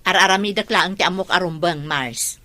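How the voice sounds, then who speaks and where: A woman's voice: on the low side, normal speed, bright, forceful tone, no room sound. A woman playing an embittered, quarrelsome mother in a radio drama, with a scolding, indignant manner. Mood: defensive. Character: embittered, quarrelsome mother of two, separated from husband. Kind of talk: Ilocano radio drama acting.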